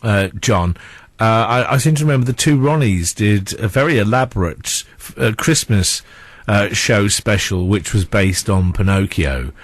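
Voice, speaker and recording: A man's voice: lowish voice, expressive, normal speed, dry recording. A man hosting a chatty radio interview, with jokey, teasing banter. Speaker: radio presenter. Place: radio studio.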